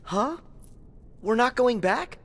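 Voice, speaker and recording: A man's voice: extremely high pitch, expressive, takes it slowly, fairly bright tone, heavily acted. A man voicing an earnest, determined and loyal teenage boy hero in a video game. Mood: subdued, concerned. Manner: earnest, emphatic. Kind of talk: animated video game voice acting.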